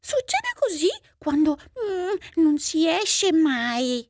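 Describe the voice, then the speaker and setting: A woman's voice: very high voice, wildly swooping pitch, slow pace, vocal fry, no echo, clean studio sound, voice pushed up, heavily acted. A woman voicing a sly, greedy male cat in a fairy tale, peppering the speech with meows and hums. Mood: scolding, resentful.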